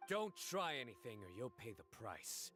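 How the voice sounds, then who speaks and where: An actor playing a stern, composed young lawman in a video game, speaking in a deliberate, accusatory way. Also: medium pitch, sing-song, bright, forceful tone, faint music behind. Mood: accusing, stern.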